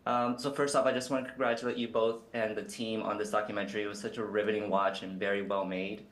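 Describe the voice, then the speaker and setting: Fast-talking low-voiced man interviewer. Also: flat delivery, fairly bright tone. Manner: earnest, with many fillers. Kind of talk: scripted interview questions.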